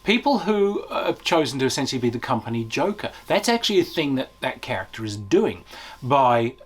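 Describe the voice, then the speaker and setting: Husky man giving a casual game review. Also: mid-range voice, sing-song, brisk. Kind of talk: casual unscripted review to camera.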